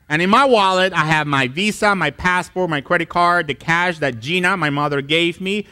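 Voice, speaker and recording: Animated male pastor, high bright voice. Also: clean tone. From Colombia. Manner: animated storytelling, acts out voices. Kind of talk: sermon. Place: church stage with wood wall.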